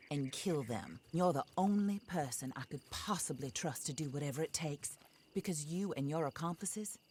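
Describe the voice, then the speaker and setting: An actress playing a wealthy, resolute frontier widow in a video game, speaking with formal, composed, wry politeness. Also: female voice, deep voice, darkish tone, a little hiss or hum. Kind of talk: video game cutscene acting.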